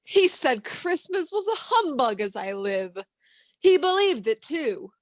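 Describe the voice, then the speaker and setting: Young woman as high-voiced, cheerful forgiving nephew. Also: unhurried, no echo. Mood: amused. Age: teenager. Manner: animated, good-humored. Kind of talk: radio play acting.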